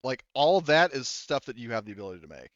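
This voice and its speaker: A man's voice: mid-range voice, sing-song, quick pace, lo-fi sound, slight background noise. A man refereeing a casual tabletop game as game master, thinking aloud as he explains the rules.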